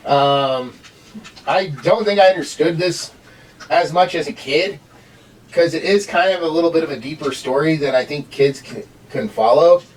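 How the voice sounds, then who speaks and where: A man in middle age with a somewhat high-pitched voice hosts a casual review. He rambles and halts as he talks. The microphone picks him up from some distance. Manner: rambling, halting. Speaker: amateur reviewer hosting with a child. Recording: distant mic, home recording.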